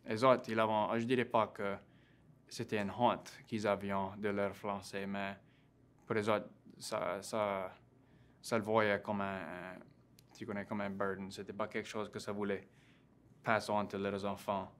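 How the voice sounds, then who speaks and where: A young man in his twenties speaks with a Cajun French accent in a casual interview. His voice sits a little low and stays flat and even. He talks haltingly, with many fillers and restarts. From Louisiana. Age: 20s. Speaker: interview guest, graduate student.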